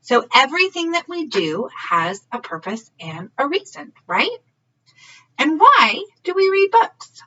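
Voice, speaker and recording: A woman's voice: high-pitched, very animated, unhurried, pushed and bright. A woman reading a story aloud for young children in a teacherly way, often checking in with questions. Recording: slightly muffled, distant mic.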